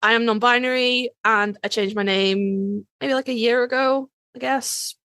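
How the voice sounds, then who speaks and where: Bright, high-voiced British woman chatting. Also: fairly even intonation, measured pace, smooth, no room sound, studio quality. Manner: sweary, informal. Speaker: podcast co-host. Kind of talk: casual chat.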